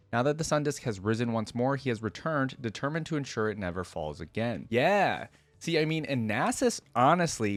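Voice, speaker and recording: A man's voice: medium pitch, dry recording. A man hosting a reaction video, reading aloud and commenting in an informal, conversational way. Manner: informal, conversational asides with frequent "like".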